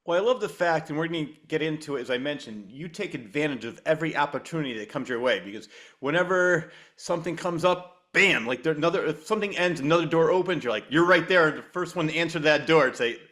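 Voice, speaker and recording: Higher-voiced man hosting a casual interview. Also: lively intonation, quick pace, fairly bright tone. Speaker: podcast host, interviewer.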